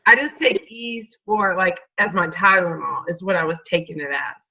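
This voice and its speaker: Stuffed-up woman, bright, muffled audio. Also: on the low side, moderate pace, creaky, husky. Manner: rambling, informal. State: stuffed up with a cold. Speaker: panel member. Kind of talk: casual chat.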